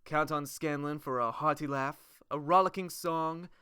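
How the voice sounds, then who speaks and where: A man voicing the bio of a vain, flirtatious gnome bard in a showy, theatrical manner with drawn-out flourishes. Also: fairly high pitch, fairly even intonation, sharp tone, smooth, no echo, clean studio sound, voice pushed up.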